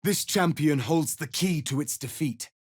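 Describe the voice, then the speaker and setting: An actor playing a proud, stern, noble alien rebel leader in a fantasy video game, speaking formally and with deliberate, solemn authority. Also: male voice, a little high, slightly rough, no room sound, studio quality. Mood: solemn resolve. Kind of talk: dramatic fantasy game acting.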